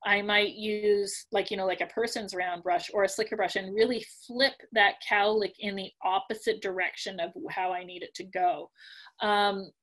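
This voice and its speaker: A middle-aged woman teaching dog grooming over a webinar video call from home, chatty with many fillers and clearing her throat as she loses her voice. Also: medium pitch, fairly even intonation, moderate pace, fairly bright tone, poor audio. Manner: chatty, many ums and likes, practical. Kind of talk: casual webinar teaching, answering questions. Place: home room. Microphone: laptop webcam video call.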